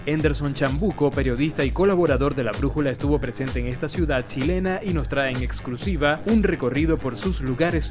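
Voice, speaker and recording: A man reading a scripted voice-over announcement in an even tone. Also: a little high. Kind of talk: scripted narration.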